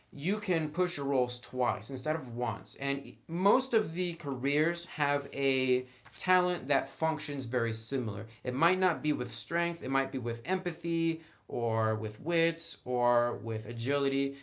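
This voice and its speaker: A man's voice: mid-range voice, a bit bright. A man giving a casual, conversational review of a tabletop game, explaining rules and sharing his own opinions.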